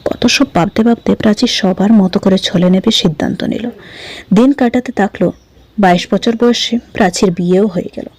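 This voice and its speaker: A woman's voice: medium pitch, normal speed, a little mellow. A woman reading a scripted short story as an audiobook narrator.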